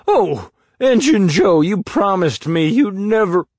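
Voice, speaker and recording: A man's voice: a little high, lively intonation, measured pace, darkish tone, no echo, studio quality, pitched above the performer's own voice. A man doing a sobbing, terrified accused man. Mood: despairing. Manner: pleading, tearful.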